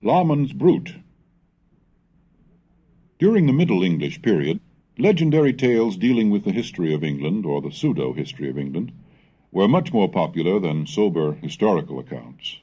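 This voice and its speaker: A man reading a scholarly script in a measured, formal, lecturing manner, as narrator of a language history lesson. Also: low-pitched, mellow. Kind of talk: scholarly scripted narration and recitation of old texts.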